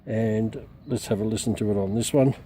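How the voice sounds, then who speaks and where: A middle-aged man with an Australian accent gives an unscripted product demonstration as a reviewer, rambling hesitantly with frequent pauses. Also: lowish voice, warm tone. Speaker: radio reviewer. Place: outdoors in a backyard. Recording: clearly noisy outdoor camera recording.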